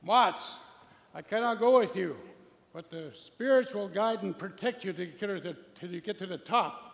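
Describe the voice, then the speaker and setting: Brief: man as a wise storytelling elder, high for a man and gravelly. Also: moderate pace. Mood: reassuring. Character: wise drum-beating elder guide, male. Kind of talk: live stage play. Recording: stage voice in a reverberant hall.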